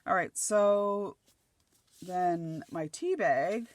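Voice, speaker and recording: A middle-aged woman from Nova Scotia chats as she crafts, thinking aloud as she places each piece. Her voice is slightly croaky and dry. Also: lowish voice, extremely slow, dry recording. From Nova Scotia, Canada. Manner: chatty, thinking aloud while gluing collage pieces. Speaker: craft channel host. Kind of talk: casual crafting chat.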